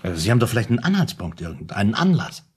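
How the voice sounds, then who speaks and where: An actor playing a pompous, obliging private detective in a radio play, stiffly formal and officious, with repetitions and hesitant pauses. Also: male voice, lowish voice, big pitch swings, darkish tone, slightly rough, exaggerated voice. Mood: solemn, discreet. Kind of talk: German radio play acting.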